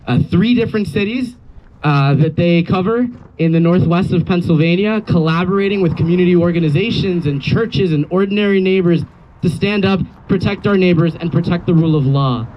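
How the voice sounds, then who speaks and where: A man's voice: sits high, brisk. A man giving an earnest, formal speech as the organizer of a public rally, introducing speakers. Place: outdoor rally near a road. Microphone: handed-around PA microphone. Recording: dull lo-fi audio, faint vehicle noise.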